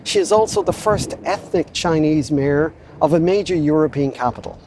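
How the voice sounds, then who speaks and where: Diplomat host, man, slightly raised pitch, polished and measured. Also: darkish tone, slight background noise. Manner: measured, courteous introductions. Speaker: consul general. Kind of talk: formal hosting remarks.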